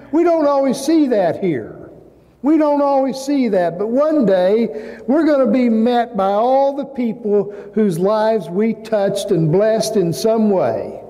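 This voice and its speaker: A man in his sixties preaching a sermon as a pastor, with measured, emphatic phrasing, pauses and repetition. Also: high voice, dark tone. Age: 60s. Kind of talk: sermon with personal anecdotes. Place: large church sanctuary, indoors at a pulpit. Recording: reverberant church hall.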